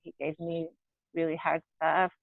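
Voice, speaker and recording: Woman guest, halting, restarts, dry tinny call audio. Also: deep voice, little pitch movement, vocal fry, dry recording. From Brazil. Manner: halting, searching for words, frequent restarts. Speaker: interview guest. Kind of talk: candid personal conversation.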